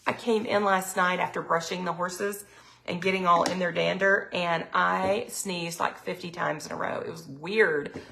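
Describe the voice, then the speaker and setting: A middle-aged woman, chatting casually on a live sales stream, her throat a little raspy from allergies. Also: fairly low pitch, fairly even intonation, normal speed, bright, forceful tone, a little hiss or hum. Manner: chatty, lots of ums, reads viewer comments aloud. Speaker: product consultant hosting a live sales stream.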